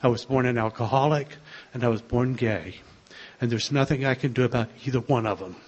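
An older man with a soft voice, sharing his own story in a gentle, wry way. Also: medium pitch, fairly quick, dull audio, background noise. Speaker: speaker sharing a recovery story. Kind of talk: personal testimony to a group.

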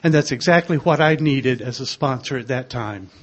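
An older man with a soft voice, sharing his own story in a gentle, wry way. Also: medium pitch, normal speed, highs cut off. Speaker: speaker sharing a recovery story. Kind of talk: personal testimony to a group.

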